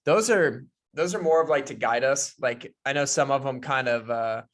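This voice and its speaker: A man's voice: medium pitch, moderate pace, fairly bright tone. A man co-hosting a podcast, chatting informally and accommodatingly as he talks with a guest. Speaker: podcast co-host. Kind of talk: casual pre-interview chat.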